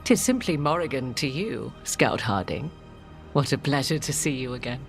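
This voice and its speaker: An actress playing an arch, enigmatic sorceress in a video game, with haughty, theatrical, archaic phrasing. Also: female voice, rumbling low, animated, moderate pace, faint music behind. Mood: wry, courtly.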